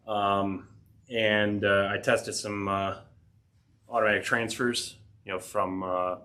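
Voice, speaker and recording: A man's voice: lowish voice, a bit bright. A man hosting a DIY tech channel, talking casually through a repair with a head cold, rambling with fillers and dry asides. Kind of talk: casual DIY workshop narration. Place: home workshop.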